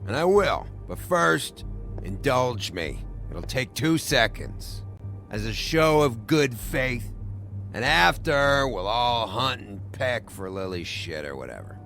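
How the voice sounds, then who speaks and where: A male actor in a video game playing a bitter, drunk, foul-mouthed man, sarcastic and volatile, with a gravelly voice. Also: medium pitch, animated, takes it slowly, fairly bright tone. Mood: sardonic, insistent. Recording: music under the voice.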